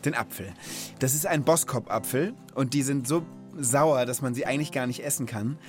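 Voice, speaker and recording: A man in his thirties speaks as the host of a children's podcast. His voice sits at a middle pitch, in a conversational storytelling style, with faint music in the background. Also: normal speed. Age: 30s.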